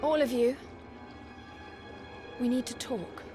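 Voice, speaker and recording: A woman voicing a dutiful young warrior maiden in a video game, speaking formally and earnestly in a measured way. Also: fairly high pitch, fairly even intonation, music in the background. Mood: earnest, troubled. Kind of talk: video game cutscene acting.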